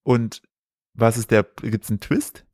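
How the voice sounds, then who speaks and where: A man's voice: mid-range voice, measured pace, a little mellow, no room sound, studio quality. A man co-hosting a podcast with his wife, telling stories and teasing her with jokes he often has to explain. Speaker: podcast co-host. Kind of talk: casual chat, storytelling.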